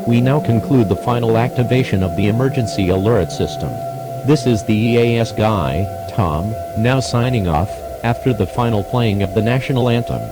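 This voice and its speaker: A man's voice: on the low side, normal speed, music in the background, low-quality recording. A man reading a scripted emergency broadcast announcement in a formal, solemn manner.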